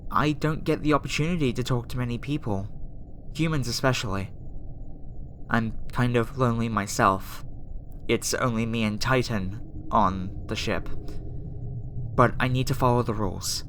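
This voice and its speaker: A woman voicing a lonely, tender alien girl scientist in an ASMR roleplay, hesitant, halting and apologetic. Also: extremely low pitch. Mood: regretful. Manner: hesitant, soft-hearted, halting. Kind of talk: ASMR roleplay audio drama. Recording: clear background noise, ambience.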